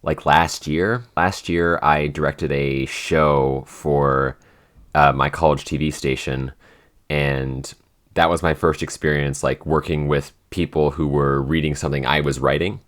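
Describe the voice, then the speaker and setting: Very deep-voiced young man chatting casually. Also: steady tone, measured pace, fairly bright tone. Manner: rambling, full of "like" and restarts, easy laughs. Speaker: podcast co-host, writer and director. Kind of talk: casual behind-the-scenes Q&A chat.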